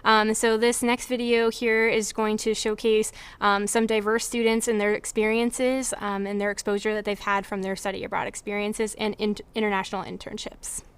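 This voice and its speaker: A woman's voice: medium pitch, little pitch movement, normal speed, few pauses, clear, resonant tone. A young woman advising students on study abroad, speaking earnestly with frequent filler words. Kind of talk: informational presentation.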